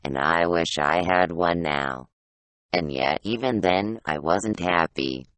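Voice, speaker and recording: Audio play role: male actor as an ancient soul in a baby's body, low-pitched, wistful and self-pitying, closely recorded and dry. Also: a bit bright, no echo, low-quality recording. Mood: wistful reminiscence. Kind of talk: audio play monologue, storytelling.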